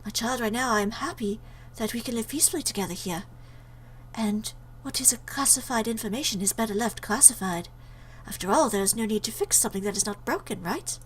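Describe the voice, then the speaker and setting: A woman voicing a caring, motherly goat monster from a visual novel, gentle, concerned and formal in her wording. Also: a little high, brisk, a little hiss or hum, close to an everyday voice, low-quality recording. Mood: gentle, evasive. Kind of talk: visual novel dialogue read aloud.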